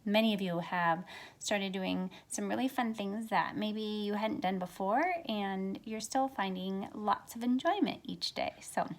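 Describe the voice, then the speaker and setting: A middle-aged woman reading a picture book aloud to young listeners in an expressive, measured storytelling way. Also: medium pitch. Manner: expressive, measured storytelling with drawn-out phrases and softened asides. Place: small carpeted room at home, indoors. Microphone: phone at arm's length.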